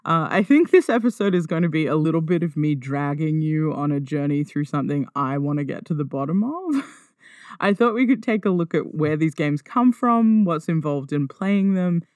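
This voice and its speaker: A woman's voice: low-pitched, lively intonation, moderate pace, a little mellow, dry recording. Wry woman podcaster, dry close sound. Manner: wry, self-deprecating, swears casually. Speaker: podcast host. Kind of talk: casual conversational solo podcast monologue. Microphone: close podcast microphone.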